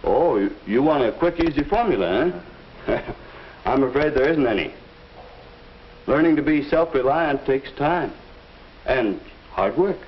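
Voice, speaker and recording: An actor playing a kindly, patient teacher with a measured, explanatory way of talking in a 1950s instructional film. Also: male voice, mid-range voice, lively intonation, natural voice. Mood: gently amused. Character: kindly, patient male teacher advising a student. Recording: muffled old film soundtrack, faint noise.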